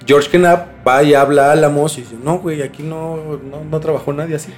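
A man's voice: a little high, fairly even intonation, brisk, soft background music. A young Mexican man telling a story as a podcast host in a casual, slangy conversational way. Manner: informal, slangy.

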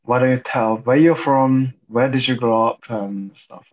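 A man's voice: mid-range voice, unhurried, muffled recording. A young man from Japan, an English speaker, chatting casually with many fillers. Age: young adult. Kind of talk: casual chat.

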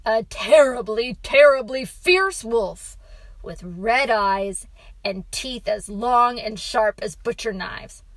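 A woman's voice: medium pitch, slow pace, a bit bright, dry recording. A woman voicing a weary, exasperated father who explains, protests and mildly scolds while telling a bedtime story. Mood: resigned. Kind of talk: acted storybook reading.

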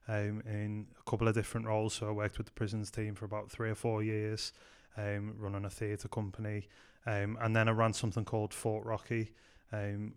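A Scouse man from Liverpool with a smooth, resonant and slightly low voice. He tells stories casually on a podcast as a youth pastor, sprinkling in plenty of ums. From Liverpool, England. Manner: chatty, frequent "um", animated anecdotes. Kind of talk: casual podcast interview storytelling.

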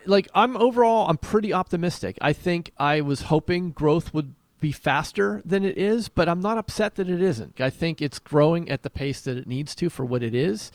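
A man's voice: medium pitch, dry recording. Casual male podcast co-host, close dry sound.

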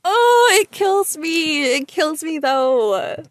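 A young woman with a sweet, slightly high voice talks straight to her listeners in a loose, venting monologue. She is chatty and emphatic, leaning on "like" and "you know". The recording is close and dry, with little room sound. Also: sing-song, slow pace, no echo. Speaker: vlogger.

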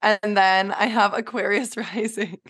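A woman whose voice is raspy from allergies, chatting in a relaxed, rambling way on a podcast. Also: medium pitch, unhurried. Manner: relaxed, rambling, laughs mid-sentence. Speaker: podcast co-host and guest. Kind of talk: casual chat.

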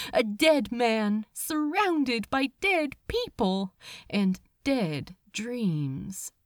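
A woman narrates scripted fiction at an unhurried pace. Her intonation is lively and dramatic, shaped for expressive storytelling. The sound is dry and close. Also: on the high side, no echo. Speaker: audiobook narrator.

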